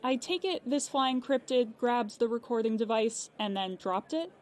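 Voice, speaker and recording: An actress playing a polite, unflappable insurance claims agent in an audio drama, precise and businesslike. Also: female voice, on the high side, lively intonation, moderate pace, darkish tone, some chatter behind, natural voice. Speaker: claims agent on a phone call.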